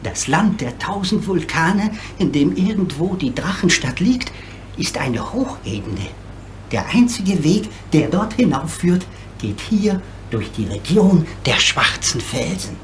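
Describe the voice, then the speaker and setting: Swooping, gentle old gentleman giant. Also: a little high, unhurried, a little hiss or hum. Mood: ominous warning. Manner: polite, explanatory, theatrically expressive. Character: gentle, lonely old male apparent giant, courteous and helpful. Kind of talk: radio play acting.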